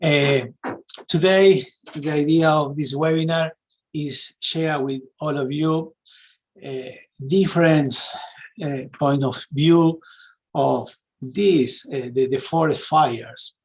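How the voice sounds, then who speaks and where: A man's voice: on the high side, fairly even intonation, slow, poor audio. A middle-aged man with an Argentine Spanish accent presents a webinar informally, searching for words in his second language. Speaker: sales and marketing executive, webinar presenter.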